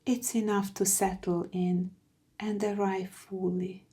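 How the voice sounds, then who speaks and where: A woman guiding a meditation from a script, soothing and hushed, with phrases that trail into breathiness. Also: mid-range voice, level tone, slow pace. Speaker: meditation guide. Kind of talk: slow guided meditation, scripted.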